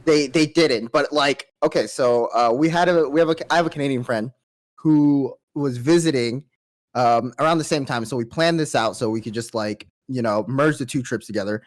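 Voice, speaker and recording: A man's voice: mid-range voice, moderate pace. A young man with a nasal voice, telling a trip story in a laid-back, swearing way on a podcast. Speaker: podcast host. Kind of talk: casual chat.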